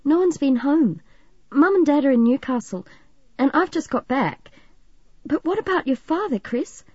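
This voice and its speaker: Warm, eager teen girl played by a female narrator, high and animated, concerned, audiobook. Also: normal speed, no room sound, highs cut off. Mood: sympathetic. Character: warm, excitable, sympathetic teenage girl.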